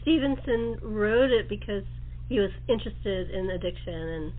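A woman's voice: medium pitch, animated, moderate pace. A woman hosting a talk show in a casual, conversational interview style. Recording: thin band-limited phone-like sound.

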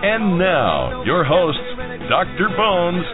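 Male intro announcer, booming, thin phone-like sound, music bed. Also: wildly swooping pitch, takes it slowly. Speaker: show announcer.

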